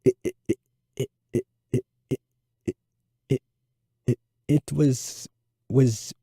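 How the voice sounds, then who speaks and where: A man's voice: mid-range voice, huge pitch swings, takes it slowly, vocal fry, dark tone, breathy edge, no echo, studio quality, exaggerated voice. A man voicing a nervous fourth-grade boy with a severe stutter, repeating sounds and words. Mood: anxious, struggling.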